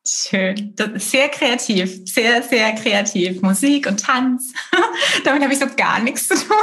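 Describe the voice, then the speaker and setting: A woman's voice: on the high side, lively intonation, slow, a bit bright. A woman hosting an interview, chatty and enthusiastic. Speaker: host.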